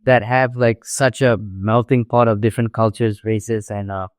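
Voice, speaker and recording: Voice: mid-range voice, steady tone, moderate pace, no room sound, clean studio sound. Chatty young Malaysian podcast host. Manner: chatty, frequent 'like'. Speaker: podcast host and international student. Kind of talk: casual podcast chat.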